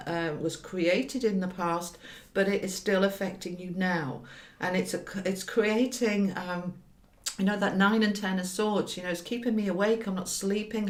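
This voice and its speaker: A woman with a slightly croaky voice giving an informal tarot reading, speaking directly to viewers with emphatic repetition. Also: mid-range voice. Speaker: tarot reader and hypnotherapist. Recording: roomy, echoey sound.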